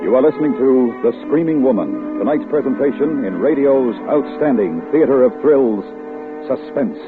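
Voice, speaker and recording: A man's voice: medium pitch, lively intonation, normal speed. A man announcing a 1950s radio suspense program in a formal, emphatic style. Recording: tinny old radio broadcast with music.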